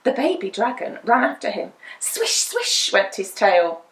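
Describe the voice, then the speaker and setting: A woman reading a children's picture book aloud as a storyteller, with dramatic, deliberate emphasis. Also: a little high, big pitch swings. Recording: roomy indoor echo.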